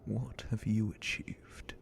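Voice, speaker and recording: A man voicing an ancient, sadistic dragon captain in an ASMR roleplay, menacing and condescending in a breathy, gravelly whisper with drawn-out theatrical delivery. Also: fairly low pitch, flat delivery, a little hiss or hum. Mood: haughty, disdainful. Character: ancient sadistic male dragon guard captain, possessive captor.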